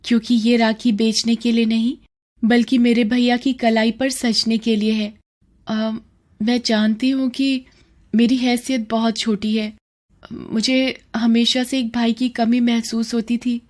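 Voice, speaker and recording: A woman's voice: fairly high pitch, flat delivery, unhurried, a little mellow, voice pushed up, lightly acted. A woman voicing a poor, earnest young girl street seller in a cartoon story, with an eager, sweet, childlike manner. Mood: shy, earnest. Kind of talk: dramatised Hindi cartoon storytelling.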